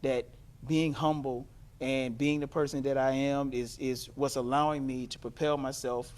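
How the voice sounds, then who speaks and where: A man's voice: medium pitch, level tone, normal speed, darkish tone. A man from the US Gulf Coast answering interview questions as a guest, earnest, humble and emphatic. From Gulf Coast, United States. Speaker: talent manager, show guest.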